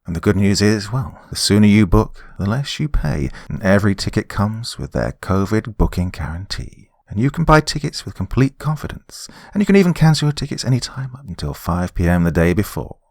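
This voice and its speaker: A British man hosting and narrating a horror podcast, reading scripted material with dramatic, deliberate, emphatic phrasing. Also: on the low side, very animated, no room sound, clean studio sound.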